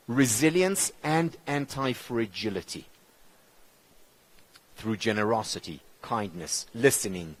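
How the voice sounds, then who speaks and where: A man whose mother tongue is Hebrew gives a motivational conference talk in English, with measured, emphatic phrasing and deliberate pauses. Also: breathy edge. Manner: lively, expressive pitch, dropping low on key words. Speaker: keynote speaker.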